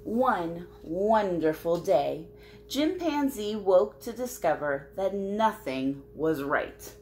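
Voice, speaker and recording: A woman with a slightly low voice reads to young children. She is very animated and takes her time, speaking directly to her listeners. Faint noise sits behind the home recording. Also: takes it slowly. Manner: expressive, addressing young listeners with simple questions. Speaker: children's storybook reader and host. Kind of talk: picture-book read-aloud with chatty asides to children.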